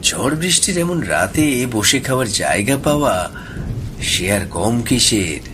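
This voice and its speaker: A man's voice: mid-range voice, big pitch swings, measured pace. An actor playing a timid, hungry young man stranded at night in a horror audio drama, speaking hesitantly. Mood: relieved. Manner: hesitant, stammering. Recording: storm rain and thunder noise.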